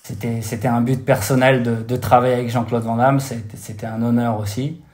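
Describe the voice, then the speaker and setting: A man's voice: medium pitch, level tone, fairly quick, a little mellow. French guy w slight american accent, chatty podcast guest on a call, lots of euh and restarts. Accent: slight American-tinged French. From France. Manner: searching for words, many restarts and fillers. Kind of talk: casual interview chat. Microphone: remote call over computer.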